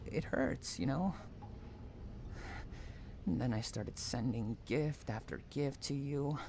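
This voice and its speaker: Man acting a slow, obsessive stalker lover. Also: mid-range voice, dark tone, slight background noise. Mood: wounded, obsessive. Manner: cooing pet names, drawn-out words, swinging from tender to anguished. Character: obsessive, unhinged male stalker lover, sweetly possessive and menacing. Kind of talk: dramatic roleplay audio monologue.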